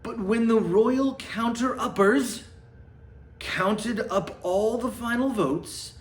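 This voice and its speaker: Sarcastic man reading aloud, swinging and roomy. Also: a little high. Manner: mock-dramatic storytelling, sarcastic muttered asides. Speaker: host reading a children's book aloud with mocking commentary. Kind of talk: satirical storybook read-aloud with casual asides. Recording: roomy, echoing sound.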